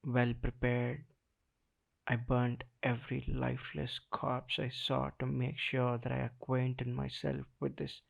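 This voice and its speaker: A man performing a slam poem in the first person, dramatic and deliberate, with weighty pauses between short phrases. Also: mid-range voice, gravelly, low-quality recording.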